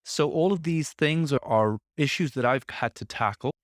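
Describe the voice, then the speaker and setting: A man's voice: on the high side, moderate pace, no room sound, studio quality. A man in his thirties hosting a solo podcast from his office, talking in a reflective, conversational way, a little congested from a sore throat. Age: 30s. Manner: conversational, reflective, self-coaching tone. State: slightly congested, throat a bit off. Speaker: podcast host.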